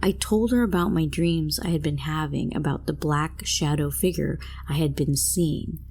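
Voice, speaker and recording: A woman's voice: sits low, moderate pace, vocal fry, darkish tone, slightly rough. A woman reading a scripted first-person horror story as a narrator.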